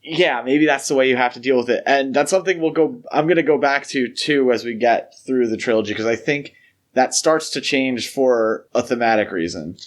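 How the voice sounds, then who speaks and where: A man's voice: medium pitch, normal speed. Male podcast co-host, conversational film talk, analytical and a little tentative in phrasing. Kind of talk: casual film discussion.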